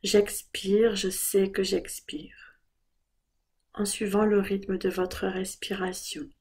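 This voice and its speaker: A woman leading a guided body scan meditation in a soft, breathy voice, giving calm, gentle, unhurried instructions. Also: mid-range voice.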